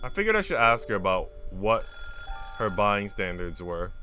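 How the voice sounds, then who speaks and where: A man's voice: lowish voice, very animated, moderate pace. A man streaming a visual novel, moving between reading the story aloud and casual, slangy, chatty asides. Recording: music behind the voice. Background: music.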